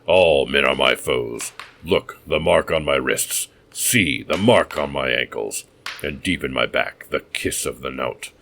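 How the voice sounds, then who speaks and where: Guy doing a deep booming crazed tavern keeper, roaring and laughing wild, storybook villain. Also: unhurried, vocal fry, bright, forceful tone, a little hiss or hum, full character voice. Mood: ranting. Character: mad, roaring escaped-prisoner innkeeper man.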